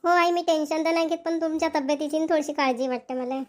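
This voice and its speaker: A woman's voice: high voice, little pitch movement, fast, natural voice. A woman voicing a caring, dutiful young newlywed wife in a cartoon family comedy, with a Varhadi Marathi accent from Vidarbha.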